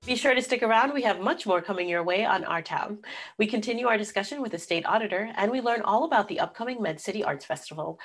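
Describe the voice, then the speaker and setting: A woman in her thirties hosting a TV interview, affirming and brisk, on a lapel mic. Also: medium pitch, lively intonation. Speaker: host. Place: room with plants, video call.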